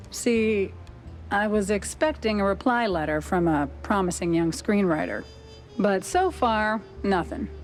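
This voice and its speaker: A woman's voice: mid-range voice, close to an everyday voice. Coy, sly noir newswoman played by a woman. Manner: arch, coy, teasing. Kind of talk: 1930s noir cartoon game acting.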